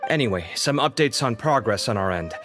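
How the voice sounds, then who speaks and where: Male actor as a brisk scholar, middle voice, dry and level, score underneath. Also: mid-range voice, animated, fairly bright tone, lightly acted. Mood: matter-of-fact. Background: game music.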